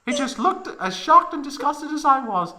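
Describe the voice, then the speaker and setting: Woman acting a high, bright, melodramatic young woman. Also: very animated, normal speed, full character voice. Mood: anguished, overwrought. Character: young woman recounting a humiliating mishap, melodramatic, proud. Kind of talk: dramatic comedic fan fiction reading.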